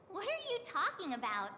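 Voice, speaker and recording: A woman's voice: very high voice, sharp tone, a little hiss or hum, heavily acted. A woman voicing a small, cheeky, childlike companion in a video game, informal and exclamatory. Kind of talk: scripted video game dialogue. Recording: long reverb with clear music behind. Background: music.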